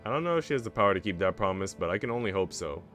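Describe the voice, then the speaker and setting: A man's voice: low-pitched, expressive, racing, fairly bright tone, soft background music. A man reading a visual novel aloud as a streamer, narrating the story in the first person.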